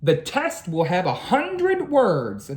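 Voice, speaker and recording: A strict storybook schoolmaster acted out by a woman speaks formally to his pupils. Each phrase is emphatic, with raised, varied pitch. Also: huge pitch swings, takes it slowly, a little mellow. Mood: firm announcing. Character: strict male schoolteacher addressing his class.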